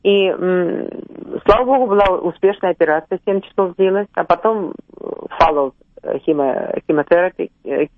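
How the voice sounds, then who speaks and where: An older woman from Russia, a singer, speaking emotionally in a radio interview, with drawn-out fillers and stray English words. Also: fairly low pitch, no room sound. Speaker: singer guest. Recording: tinny phone line, dry.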